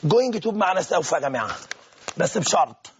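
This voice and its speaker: A man with an Egyptian Arabic accent, teaching English grammar step by step. He translates each phrase and often clears his throat. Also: on the high side, big pitch swings, a little fast, a little hiss or hum. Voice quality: husky, gravelly voice with frequent throat-clearing. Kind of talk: classroom grammar explanation.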